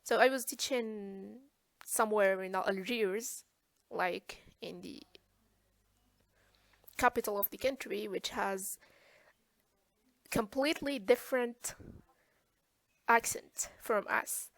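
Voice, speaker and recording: A woman's voice: medium pitch, takes it slowly, creaky, long pauses, fairly bright tone, no echo. A woman from eastern Algeria with an Algerian accent, hosting a webinar conversation as moderator and English teacher. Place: online video call.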